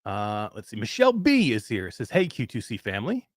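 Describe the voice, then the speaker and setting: Man, 50s, animated host, swooping pitch, dry sound. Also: a little fast, no room sound. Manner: excited, animated chat shout-outs. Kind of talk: casual live stream chat.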